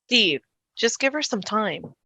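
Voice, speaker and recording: A woman's voice: a little high, sing-song, takes it slowly, bright, forceful tone, no room sound, heavily acted. A woman playing a worried, caring teenage boy in a fan table read, with anxious, urgent delivery. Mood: worried, pleading.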